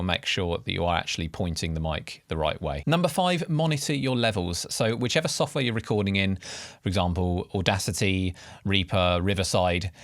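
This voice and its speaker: A man hosting an instructional video, explaining step by step in a clear way, recorded close to the mic. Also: sits low, no echo. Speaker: tutorial host. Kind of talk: instructional video narration to camera. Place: quiet treated home studio with acoustic panels.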